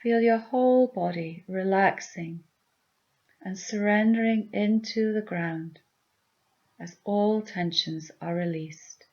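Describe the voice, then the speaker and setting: A woman leading a guided meditation with gentle, soothing, deliberate instructions. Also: medium pitch, lo-fi sound.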